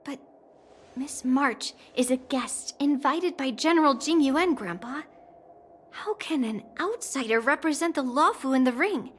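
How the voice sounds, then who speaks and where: High-voiced, fretful young granddaughter. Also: female voice, expressive, a little slow, a little hiss or hum. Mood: indignant doubt. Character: earnest, proud young granddaughter, doubtful and fretful. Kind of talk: anime-style video game voice acting.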